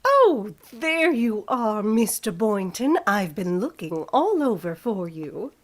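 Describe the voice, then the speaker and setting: A woman's voice: medium pitch, sing-song, takes it slowly. A woman playing a scheming, falsely sweet rival in a vintage radio comedy, syrupy and condescending. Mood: gushing, flirtatious.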